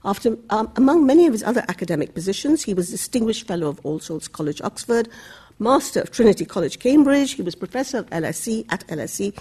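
Breathy woman chairing a public panel. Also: mid-range voice, very animated, dark tone. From Bangladesh. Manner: measured, formal introductions with occasional fillers. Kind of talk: introducing panelists at a public lecture.

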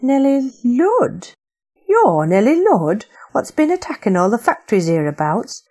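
A woman's voice: fairly high pitch, very animated, a little slow, a little mellow, voice pushed up. A woman voicing a desperate young working woman searching for her brothers in an audiobook. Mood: pleading. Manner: pleading, urgent.

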